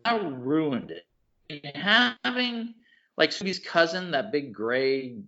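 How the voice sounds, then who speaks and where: A man co-hosting a podcast in casual chat, excitable and rambling, often restarting his sentences. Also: fairly high pitch, slow. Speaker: podcast co-host. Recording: dull lo-fi podcast audio.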